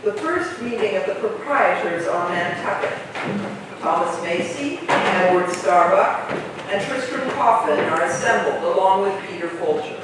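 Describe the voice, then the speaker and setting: A man's voice: high voice, animated, a little slow. A man reading out stage directions as scripted narration for a staged reading. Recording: very echoey distant room, clear noise.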